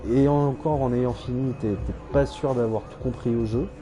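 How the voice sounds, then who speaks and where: A man's voice: medium pitch, fairly even intonation, normal speed, music in the background. A man streaming a game, rambling hesitantly with many fillers and self-corrections, with dry irony. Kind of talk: casual rambling game commentary.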